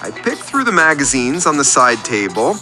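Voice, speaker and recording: Actor playing a needy, theatrical radio caller with swooping intonation. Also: male voice, mid-range voice, sing-song, moderate pace, sharp tone, faint music behind. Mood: eager, fussy. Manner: confessional, theatrical, wordy storytelling. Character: needy, overlooked, self-pitying man calling a radio show. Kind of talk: scripted comedic radio play monologue.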